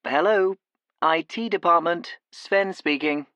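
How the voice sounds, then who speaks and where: An actor playing a friendly, helpful IT worker on the phone in a scripted lesson dialogue, speaking clearly and carefully. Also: mid-range voice, measured pace, fairly bright tone, dry recording, natural voice. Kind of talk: scripted phone-call role-play.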